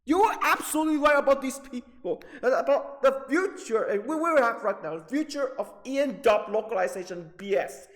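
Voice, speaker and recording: A man with a very high-pitched voice rants off the cuff like an agitated gaming video creator. He is profane and repetitive, stretching words for emphasis in halting bursts. The sound has a long, hall-like reverb. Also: animated. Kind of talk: angry off-the-cuff rant about video games.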